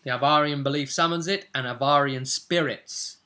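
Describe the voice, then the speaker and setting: A man speaking as game master, casually recapping a role-play session in a halting, emphatic way with repeated phrases. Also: medium pitch, pushed and bright.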